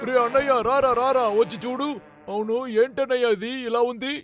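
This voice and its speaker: A man's voice: very high voice, fairly even intonation, a little fast, a crowd in the background. A man voicing a childlike, curious younger bear in a dubbed children's cartoon, eager and naive.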